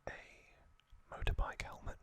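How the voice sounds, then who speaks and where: A man whispering as an ASMR host, recounting trivia in a soft, rambling way with frequent pauses and ums. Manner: rambling, personal recollections.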